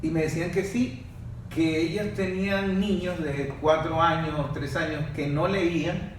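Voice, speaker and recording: A man's voice: a little high, fairly even intonation, a little slow, slight background noise. A man hosting a casual panel talk, reflecting and building his points step by step. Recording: echoey room, far from mic.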